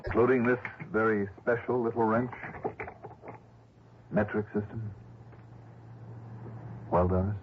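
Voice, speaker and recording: Man acting a deep hard-boiled radio detective. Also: steady tone, measured pace, long pauses, noisy background, close to an everyday voice. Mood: grimly probing. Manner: clipped, wry, probing. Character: hard-boiled, wry male insurance investigator narrating his case. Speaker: lead actor and narrator. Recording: dull old radio recording with music behind.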